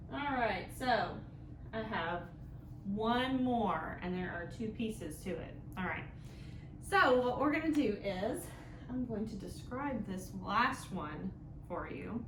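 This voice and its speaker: A woman hosting an interactive storytime for young children, explaining things in simple phrases and often checking in with questions. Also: mid-range voice. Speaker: children's library storytime host. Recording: echoey room with clear background noise.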